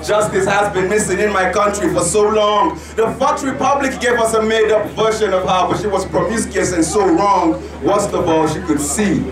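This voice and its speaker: Nigerian guy doing spoken word, kinda high voice, echoey room heard from far off with a crowd around. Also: normal speed, few pauses. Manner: declamatory, rhythmic, impassioned delivery. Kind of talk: performance poetry recital. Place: indoor lounge venue with live audience. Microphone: handheld mic, far camera. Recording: roomy echo from far off, crowd behind.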